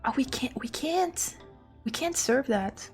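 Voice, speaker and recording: A woman's voice: sits high, lively intonation, slow pace, a little mellow, soft background music. A woman game streamer chatting casually on a live stream and reacting aloud to game dialogue.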